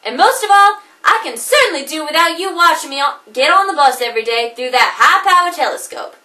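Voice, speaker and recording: A woman acting out an exasperated young woman laying down the law to a clingy admirer. Her voice is very high and bright and leaps about as she stresses each complaint. It is picked up from a distance in an echoing room. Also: lively intonation, brisk. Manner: theatrical, indignant, emphatic. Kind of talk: comedic monologue performance.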